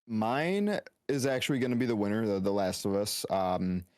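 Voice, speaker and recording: Lower voiced guy chatting on a podcast panel, dry close sound. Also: expressive, moderate pace, darkish tone, no echo. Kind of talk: casual podcast chat.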